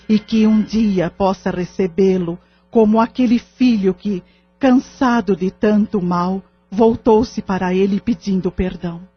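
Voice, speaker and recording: A woman's voice: mid-range voice, lightly acted. A woman with a Brazilian accent playing a serene, kindly séance leader, solemn and consoling in an audio drama. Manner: solemn, gentle, measured, consoling. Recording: slightly muffled, music behind.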